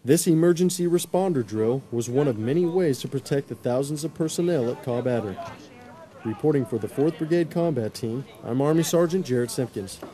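A man's voice: medium pitch, animated, fairly quick, mellow. Male military news narrator. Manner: crisp announcer delivery.

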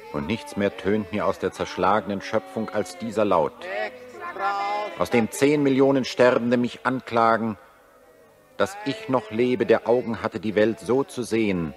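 An actor in a 1940s German radio drama playing an anguished, bitter satirist who accuses humanity, speaking in solemn, rhetorical declamation. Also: male voice, lowish voice, natural voice.

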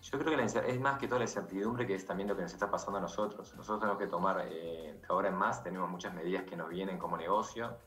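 A man's voice: low-pitched, rapid-fire, hardly any pauses, fairly bright tone, soft background music. A middle-aged man with a Uruguayan accent, a bar owner speaking remotely as an interview guest in an earnest, matter-of-fact way. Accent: Uruguayan Spanish. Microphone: video call. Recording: echoey video call.

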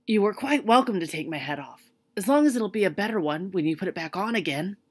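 A woman's voice: mid-range voice, animated, fast talker, husky, pitched above the performer's own voice. Woman voicing a straw scarecrow, cheerful, earnest, raised voice, storybook. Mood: eager. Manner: earnest, hopeful. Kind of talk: storybook reading.

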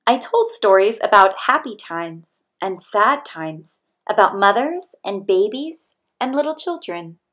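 A woman reading a children's picture book aloud as scripted narration, with expressive, deliberate storytelling delivery. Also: medium pitch. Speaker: storybook reader.

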